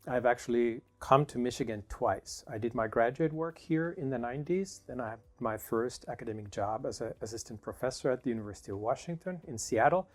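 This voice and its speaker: Middle-aged male professor. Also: mid-range voice. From Finland. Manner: thoughtful, measured, with small restarts. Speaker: political science professor. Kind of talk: interview answers.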